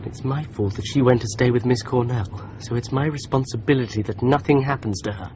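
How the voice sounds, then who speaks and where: Musing male sleuth, played by a man, calm inner voice, hiss under a dull radio-play recording. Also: mid-range voice, a little fast, close to an everyday voice. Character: thoughtful male amateur sleuth driving. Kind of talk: radio drama.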